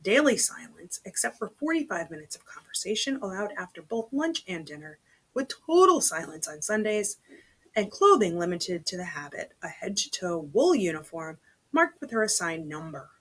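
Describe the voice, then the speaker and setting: Lady reading a book out loud, kinda low voice, chatty little comments to herself. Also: sing-song, moderate pace, breathy edge, rough recording. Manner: chatty, breaks off to comment in undertones. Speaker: read-aloud host reading a novel. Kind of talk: read-aloud storytelling with casual asides.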